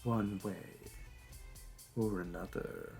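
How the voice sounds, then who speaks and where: Male actor as supervillain, roleplay audio, gravelly fry, slow delivery, suave, flirtatious, smug. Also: on the low side, fairly even intonation, a little mellow, soft background music. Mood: smooth farewell. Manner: suave, teasing, theatrical. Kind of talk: scripted roleplay audio drama.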